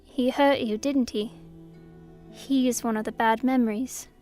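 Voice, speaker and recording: A woman playing a curious, candid young princess in an audio drama, with a soft, innocent manner. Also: a little high, steady tone, unhurried, faint music behind, close to an everyday voice. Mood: hesitant, probing.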